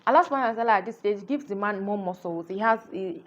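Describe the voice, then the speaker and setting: A woman's voice: medium pitch, rapid-fire. A woman talks through a book in a casual, earnest review, explaining each chapter and leaning on "you know" as she gathers her thoughts. Her voice sits in an ordinary middle range. The recording is a little dull and lo-fi.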